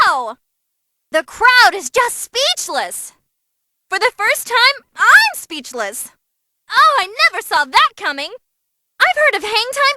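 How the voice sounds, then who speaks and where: A young female ringside announcer from a fighting game. Her voice sits extremely high and very bright, swinging widely as she commentates. She is bubbly, theatrical and teasing, with an open, gleeful taste for brutality. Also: exaggerated voice. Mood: amazed, relishing.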